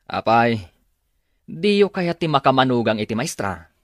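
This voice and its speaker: A man's voice: mid-range voice, big pitch swings, normal speed, no room sound, clean studio sound, natural voice. A man playing a stubborn, lovestruck grown son, insistent and whining, in a radio drama.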